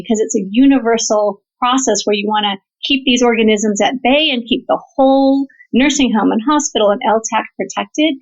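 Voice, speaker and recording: A woman gives explanatory interview answers as a medical researcher. Her voice is slightly high and she lays points out in an orderly way. The recording is somewhat dull and lo-fi. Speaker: interview guest, physician researcher and professor. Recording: dull, band-limited audio.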